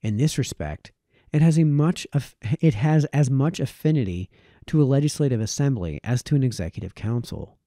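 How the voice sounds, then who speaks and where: A man reading a formal historical text aloud as a narrator, in measured, formal phrasing. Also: mid-range voice, lively intonation, dark tone, no room sound, studio quality. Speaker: reader, narrator.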